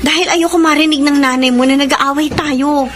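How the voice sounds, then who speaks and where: A woman's voice: high voice, steady tone, fairly quick, close to an everyday voice. A woman with a Filipino accent playing a worried, pleading young wife and mother in a radio drama. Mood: hurt. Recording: noisy radio drama recording.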